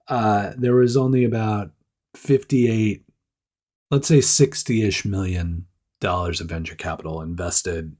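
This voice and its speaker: Man, stuffed up, rough nasal voice, casual news chat, animated. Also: mid-range voice. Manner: chatty, animated asides, mock-voices others. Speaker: startup news host. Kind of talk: casual weekly news roundup.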